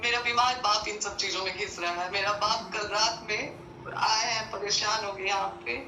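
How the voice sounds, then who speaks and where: A woman's voice: medium pitch, fairly even intonation, moderate pace. A woman arguing her case on a recorded call, insistent and aggrieved, pressing rhetorical questions. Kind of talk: phone call, pleading argument. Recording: echoey, distant phone-call recording with faint noise.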